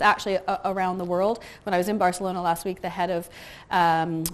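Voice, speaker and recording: A middle-aged woman with a soft, quiet voice giving a chatty conference talk about customer service, full of ums and light asides. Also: fairly low pitch. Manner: chatty, frequent ums and uhs, self-deprecating asides. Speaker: conference speaker. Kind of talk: conference talk to a live audience. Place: conference room with audience.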